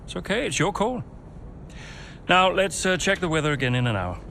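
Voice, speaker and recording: An actor playing a middle-aged racing team principal, firm and commanding under strain. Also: male voice, high-pitched, sing-song, brisk, creaky, bright, forceful tone, a little hiss or hum, exaggerated voice. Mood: calm. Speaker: team boss. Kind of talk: video game cutscene acting.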